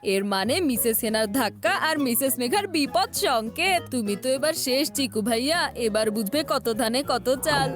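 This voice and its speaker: Cheeky high-voiced little brother. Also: female voice, animated, moderate pace, few pauses. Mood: smug, teasing. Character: cheeky, crafty little boy, younger brother. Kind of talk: cartoon dub acting. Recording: music behind the voice.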